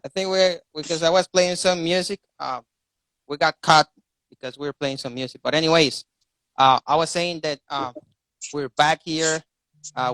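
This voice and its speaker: A man's voice: a little high, a bit bright, no room sound, rough recording. A man from Rica, hosting a casual live video chat in English and often repeating words as he searches for them. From Costa Rica. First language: Spanish. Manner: rambling, repeats words while finding them. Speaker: live stream host.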